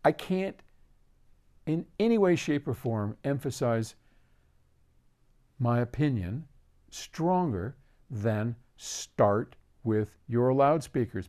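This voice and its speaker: A man's voice: medium pitch, dark tone. An older man hosts casually, answering a viewer's question in an emphatic, opinionated way. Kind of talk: casual explanatory talk to camera.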